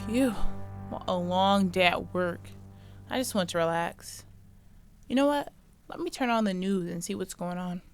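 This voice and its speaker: A woman's voice: on the low side, measured pace, pauses often, soft background music. Woman playing a secretly caring supervillain, thinking aloud. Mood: weary, relieved. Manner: expressive, talks to herself. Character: supervillain woman secretly worried for her missing rival. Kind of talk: audio drama acting, thinking aloud.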